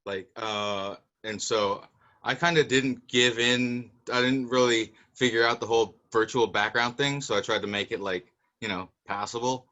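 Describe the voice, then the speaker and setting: A man's voice: medium pitch, steady tone, moderate pace, sharp tone. A man, an actor, giving a casual, loosely strung answer on a panel over a dull video-call line.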